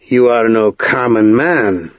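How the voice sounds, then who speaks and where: A man's voice: mid-range voice, slow, no room sound, lo-fi sound, natural voice. A man voicing a proud, hard-headed village smith in a storybook, speaking plainly and firmly. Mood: firm, respectful.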